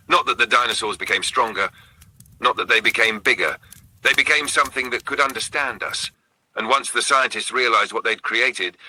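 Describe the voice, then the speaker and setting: Male horror narrator: raspy, very forceful tone, suspenseful delivery in short, dramatic phrases. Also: fairly low pitch, rough recording. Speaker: narrator of a horror storytelling channel. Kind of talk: scripted narration, spooky campfire storytelling.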